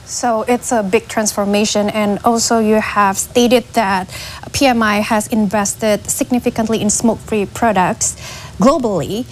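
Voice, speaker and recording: A woman's voice: mid-range voice, fairly even intonation, measured pace, a little hiss or hum. A woman with an Indonesian accent asks questions as the interviewer in a corporate interview.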